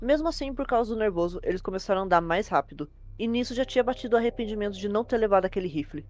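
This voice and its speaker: A woman's voice: medium pitch, very fast, darkish tone. A woman with a Brazilian accent narrating a horror tale as host, in an informal, fluent, conversational way. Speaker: host and storyteller.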